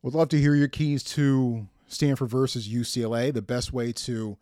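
An American man hosting a sports podcast, giving preview commentary to listeners in emphatic, deliberate phrases. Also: mid-range voice, a little mellow, dry recording, studio quality.

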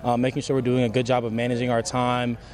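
A man's voice: medium pitch, monotone, fast, a little mellow. A young man, a college football player, giving earnest interview answers after practice. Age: young adult.

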